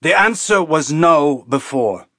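A man narrating a novel plays a spaceship captain with a hot temper. He is gruff, blunt and defiant, pushing his pitch up as he lays down the law. The sound is close and dry. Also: expressive, slow pace, sharp tone, no echo, full character voice. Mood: angry, defiant. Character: angry, stubborn, profane male spaceship captain. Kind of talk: audiobook character acting.

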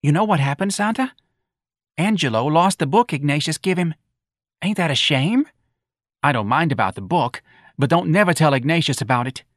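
A timid, fretful older mother, as a male narrator voices her, pitched high above his own voice in a plaintive whine. She gossips and frets in broken grammar. The read is close and dry. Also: lively intonation, normal speed, no room sound.

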